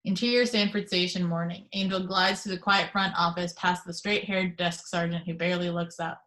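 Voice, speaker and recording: A woman with a fairly low voice reads out the stage directions in a steady, measured way. She is heard over a video call during an at-home table read. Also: poor audio. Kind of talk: reading action description aloud.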